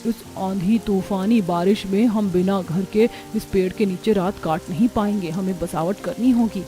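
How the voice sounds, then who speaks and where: A woman's voice: mid-range voice, normal speed, mellow, soft background music, close to an everyday voice. A woman voicing a resourceful, earnest young village daughter-in-law in a cartoon story. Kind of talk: acted dialogue in a Hindi cartoon story.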